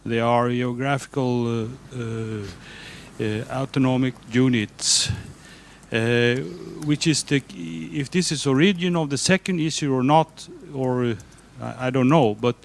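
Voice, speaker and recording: A man's voice: mid-range voice, measured pace, a little hiss or hum. Hesitant man speaking up in a seminar. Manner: hesitant, many fillers and restarts. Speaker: seminar participant. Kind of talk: spontaneous contribution in discussion.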